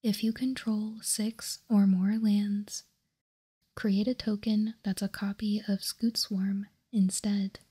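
A woman whispering softly and breathily in an ASMR style, close to the microphone, reading out and commenting in a careful, deliberate way. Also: mid-range voice, little pitch movement, slightly rough. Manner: slow, soft, deliberate delivery with careful pauses. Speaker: host of a trading card ASMR channel.

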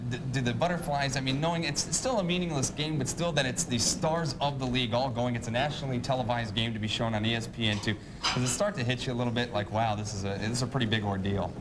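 A young man hosting a broadcast sports interview in a polished, wordy announcer style. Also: mid-range voice. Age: young adult. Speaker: sports show host, interviewer. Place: sports grill, indoors, at a table. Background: clear ambient noise.

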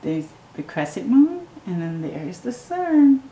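A middle-aged woman reading a picture book aloud to children at home, slowly and gently, with excited asides to the viewer. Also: on the high side, roomy sound, rough recording. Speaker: storytime reader. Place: living room at home.

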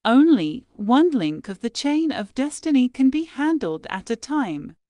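A woman reading quotations aloud in a slow, measured, deliberate way, pausing between phrases. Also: sits high, sing-song, warm tone, dry recording. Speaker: quote reader. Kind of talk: slow scripted quote reading.

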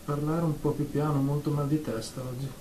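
A woman's voice: deep voice, level tone, a little fast, mellow, close to an everyday voice. A woman acting a frail, fussy patient full of ailments in a radio drama, complaining plaintively. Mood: plaintive, weary. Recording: echoey room, faint noise.

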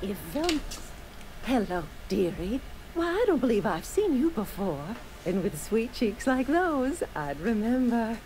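High-pitched sugary older auntie. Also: female voice, big pitch swings, measured pace, darkish tone, slight background noise, rough recording. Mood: sugary, doting. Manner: cooing, doting, uses pet names. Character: sugary older auntie dispensing pills at a clinic. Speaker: dispensary pharmacist. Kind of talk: video game character acting.

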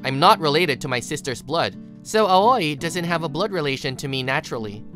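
Woman w very low voice doing story narration, bit of guitar music behind. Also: expressive, brisk. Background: plucked guitar music.

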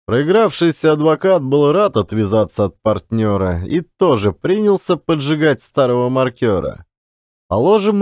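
Expressive male audiobook narrator, very animated pitch. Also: dry recording, clean studio sound. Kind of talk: expressive literary storytelling.

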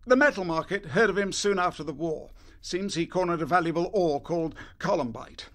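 An actor playing a fussy, eager British secret service quartermaster in a radio drama, clipped and officious. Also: male voice, fairly high pitch, lively intonation, fairly quick, fairly bright tone.

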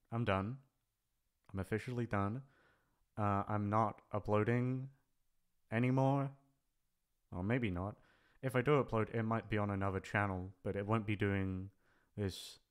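A man's voice: low voice, clean tone. A man talking casually without a script, rambling and hesitant in a self-deprecating way, thinking aloud. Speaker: audio channel creator, voice actor. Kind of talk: unscripted casual farewell vlog.

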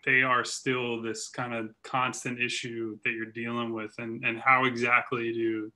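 Male reporter, direct question, flat delivery, very bright tone. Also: mid-range voice, a little slow. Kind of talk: press conference question.

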